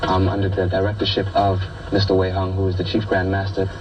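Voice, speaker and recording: A man's voice: sits low, monotone, fairly quick, muffled recording. A man from Puerto Rico, a kung fu instructor, speaking intensely and earnestly in a documentary interview, repeating phrases for weight. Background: loud music.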